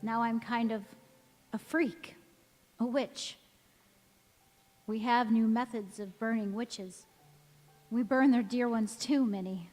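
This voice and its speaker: A woman's voice: fairly high pitch, fairly even intonation, slow pace, pauses often, darkish tone. A woman acting in a stage radio play as an anguished, devoted young wife who is cursed never to age, speaking in an earnest, melodramatic way. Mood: desperate, resolute. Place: large reverberant church or hall. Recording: echoey hall, music behind.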